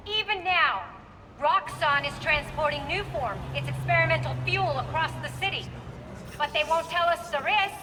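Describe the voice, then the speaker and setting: Echoey, high-pitched actress as impassioned woman activist. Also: normal speed, background noise, close to an everyday voice. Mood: impassioned, resolute. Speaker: public speaker at a rally. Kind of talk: acted political speech in video game. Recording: echoey outdoor PA, distant, crowd behind.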